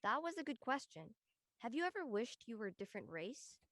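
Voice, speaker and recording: A woman's voice: a little high, big pitch swings, brisk, fairly bright tone, no echo, studio quality. A woman playing an earnest, advising character in a scripted family drama reading.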